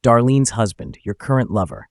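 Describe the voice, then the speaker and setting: A man's voice: mid-range voice, big pitch swings, moderate pace, darkish tone, no echo, studio quality. A man voicing a betrayed husband in a narrated story, falsely polite and coolly menacing, with a smug, controlled delivery. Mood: cool menace.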